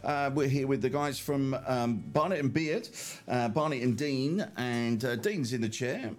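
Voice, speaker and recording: A man's voice: mid-range voice, unhurried. A man in his forties hosting a casual radio show, asking rambling questions full of ums and restarts. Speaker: radio host.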